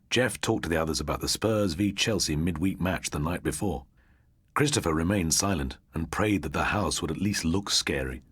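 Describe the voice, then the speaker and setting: A man's voice: deep voice, fairly bright tone, breathy edge, no room sound, studio quality. A British man narrating a ghost story for an audiobook in a dramatic, scripted style.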